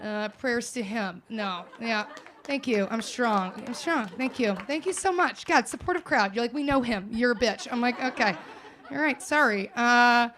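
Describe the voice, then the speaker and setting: An American woman performing stand-up with crowd work, brash, loud and self-mocking. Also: a little high, normal speed, a little hiss or hum. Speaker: stand-up comedian.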